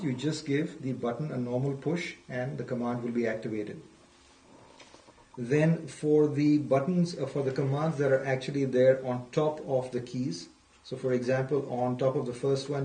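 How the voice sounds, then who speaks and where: A man's voice: medium pitch, fairly even intonation, moderate pace, dark tone, slight background noise. Man, distant roomy recording, unscripted product demo, step-by-step instructional delivery, methodical, repeats key points. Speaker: product presenter. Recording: roomy echo, distant microphone.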